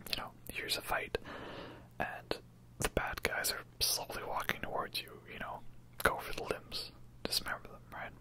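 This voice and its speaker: A person whispering breathy, casual, rambling commentary over a video game in an ASMR style. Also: sharp tone, poor audio.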